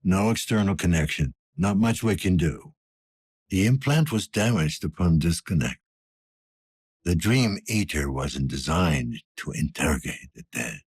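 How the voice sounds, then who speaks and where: A weary older police detective, deep voice, gruff and terse, varied intonation, video game dialogue. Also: male voice, lively intonation, a little slow, dry recording, exaggerated voice. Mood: grim, clinical. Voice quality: husky, gravelly. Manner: terse, world-weary, low-key delivery.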